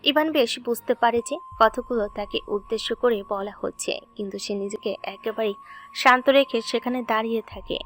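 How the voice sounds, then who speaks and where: A woman's voice: fairly high pitch, moderate pace, faint music behind. A woman reading scripted story narration as the narrator of an audio drama.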